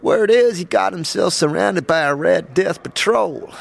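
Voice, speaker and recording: A man's voice: high voice, expressive, unhurried, vocal fry, pitched above the performer's own voice, full character voice. A man voicing a world-weary woman who leads soldiers of justice in a fantasy audio drama, wry, knowing and easygoing. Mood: grave, musing. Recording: music under the voice.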